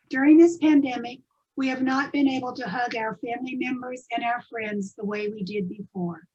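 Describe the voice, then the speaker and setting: A woman introducing children's stories as host, in simple measured phrases to her audience. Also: sits high, a little mellow, breathy edge, muffled recording. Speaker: host and presenter of a readers theatre.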